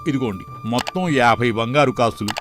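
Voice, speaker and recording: A man's voice: medium pitch, animated, normal speed, close to an everyday voice. Man acting a shrewd, firm village priest, music behind. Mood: earnest, advising. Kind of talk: moral story cartoon dialogue.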